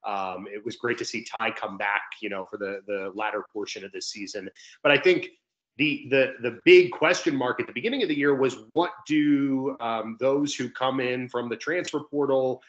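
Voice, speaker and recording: A man's voice: mid-range voice, steady tone, normal speed, fairly bright tone. Young man talking sports over dull call audio. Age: young adult. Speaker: sports play-by-play announcer, show guest. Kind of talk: conversational sports analysis. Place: indoor room on a video call. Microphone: video call microphone.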